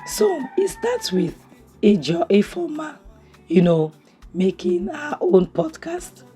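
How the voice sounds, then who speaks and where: A woman talks through a drama review at home, her voice hoarse and very husky from a cough. Her pitch swings up and down in a lively way, with a mellow tone. Faint music plays softly behind her. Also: slow pace. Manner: chatty and opinionated, many restarts and "you know". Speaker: series review vlogger. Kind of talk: casual spoken review recap. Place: home, near a kitchen appliance.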